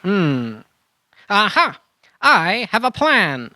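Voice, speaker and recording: A woman's voice: mid-range voice, very animated, very slow, bright, forceful tone, no echo, clean studio sound, full character voice. A woman playing an overconfident, oblivious treasure-hunting hero in a comedic children's audio drama, earnest and upbeat. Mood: clueless, eager. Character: Chattanooga, cheerfully clueless, overconfident treasure-hunting adventurer hero.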